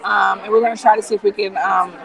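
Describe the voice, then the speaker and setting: A woman guest chatting casually in a live interview, enthusiastic and full of fillers, her voice getting hoarse from too much talking. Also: mid-range voice, fast, a faint crowd. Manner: relaxed, filler-heavy, enthusiastic. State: losing her voice. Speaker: founder of a nonprofit hacking group, guest.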